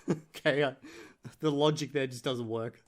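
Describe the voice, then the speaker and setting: A man's voice: medium pitch, fairly even intonation, measured pace. A man giving casual, sweary reaction commentary in a blunt, sarcastic manner. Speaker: reaction video host.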